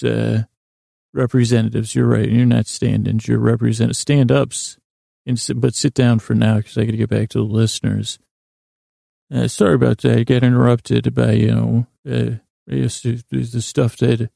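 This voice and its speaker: A male podcast host with a soft, dark tone and a gravelly fry rambles through an improvised bedtime monologue. His pitch stays in a narrow band as he halts, corrects himself and wanders off into self-deprecating asides. The sound is close and dry. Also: dry recording. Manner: halting and meandering, trailing off into tangents and self-corrections.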